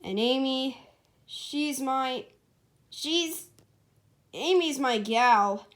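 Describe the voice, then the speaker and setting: A cocky young male hedgehog from a cartoon storybook, acted out by a woman. He boasts, then stammers shyly. The voice sits fairly high and moves at an unhurried, slow pace. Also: lively intonation, fairly bright tone, heavily acted. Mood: jealous, defensive.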